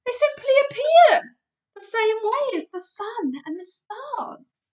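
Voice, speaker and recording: A woman reading aloud, giving voice to a big friendly old giant who mangles his words with made-up ones, loud and booming and swooping all over a high register. It comes through a dull, lo-fi recording. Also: sing-song, slow pace, exaggerated voice. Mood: earnest.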